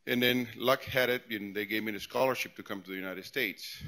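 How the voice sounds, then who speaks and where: A man's voice: on the low side, fairly quick, sharp tone, poor audio. A man in his forties from Peru, speaking as a guest speaker, giving a conversational talk with frequent restarts and repeated words. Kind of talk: informal tech talk to employees.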